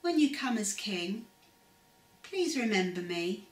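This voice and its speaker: A humble, repentant condemned man, as a woman reads him aloud pleads earnestly. The delivery is slow. The voice sits back from the mic with a roomy echo. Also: medium pitch, very animated, recorded from a distance.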